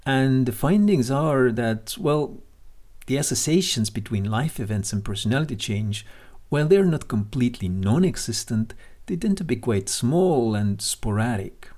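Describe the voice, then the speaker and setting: A man hosting a podcast, speaking in a measured way and setting up questions for his guests. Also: medium pitch, lively intonation, a little mellow. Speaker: podcast host.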